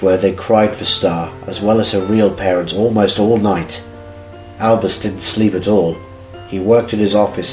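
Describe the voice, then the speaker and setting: A low male voice narrates a dramatic story in scripted prose. The recording is roomy, with music playing softly behind him.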